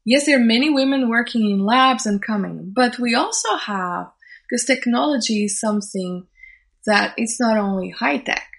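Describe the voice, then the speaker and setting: Portuguese-speaking woman chatting in english, pauses and restarts a lot, kinda high voice. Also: vocal fry. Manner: thoughtful, halting, restarts phrases while searching for words. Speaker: event organizer and social scientist. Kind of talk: interview conversation.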